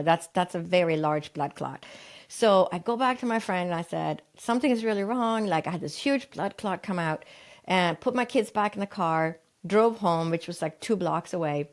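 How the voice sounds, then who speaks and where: A middle-aged woman, raised in Sweden, telling a personal story in an interview and acting out the voices of people she quotes. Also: lowish voice. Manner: animated retelling, acts out quoted voices. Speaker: guest.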